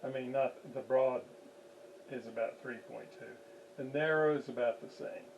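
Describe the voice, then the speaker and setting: A man giving an unscripted hands-on technical demonstration, haltingly thinking aloud as he works at radio repair. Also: mid-range voice, creaky, dull audio, a little hiss or hum.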